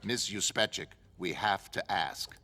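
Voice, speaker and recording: A man's voice: low-pitched, measured pace, pushed and bright, slight background noise. A man playing a cold, callous government agent with a clipped, businesslike, condescending manner. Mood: brisk, official.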